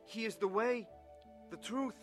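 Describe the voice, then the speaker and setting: A man's voice: high-pitched, unhurried, over music. An actor playing an earnest, haunted young Russian defector who tells his story in a dramatized testimony. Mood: earnest conviction. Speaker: first-person narrator of a dramatized testimony. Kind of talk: dramatized scripted narration.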